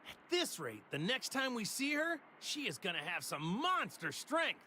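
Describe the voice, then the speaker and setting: An actor playing an eager, naive, headstrong hero in an English anime game dub. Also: male voice, extremely high pitch, huge pitch swings, moderate pace, bright, forceful tone, slight background noise, exaggerated voice. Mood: worried. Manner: eager, earnest, emphatic. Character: upbeat, naive, headstrong male martial arts hero.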